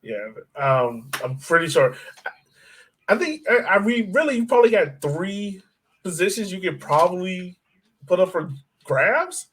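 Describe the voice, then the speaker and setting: A man's voice: sits high, big pitch swings, unhurried, a bit bright, a little hiss or hum, low-quality recording. A man hosting a casual soccer podcast, talking hesitantly as he thinks aloud. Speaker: sports podcast host.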